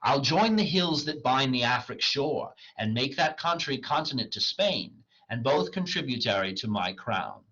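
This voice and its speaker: Man acting a proud tragic scholar, declamatory, bright hard voice, stage tragedy, slightly dull recording. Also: mid-range voice, lively intonation, normal speed, hardly any pauses, slightly rough, voice pushed up, full character voice. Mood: boastful. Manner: grand, declamatory. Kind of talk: Elizabethan tragedy reading.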